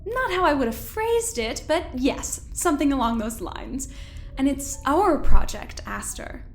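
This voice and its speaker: A woman playing a cool, controlling scientist in an audio drama, speaking in a measured, formal, pointed way. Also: high-pitched, lively intonation. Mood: cold reproach. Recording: music under the voice.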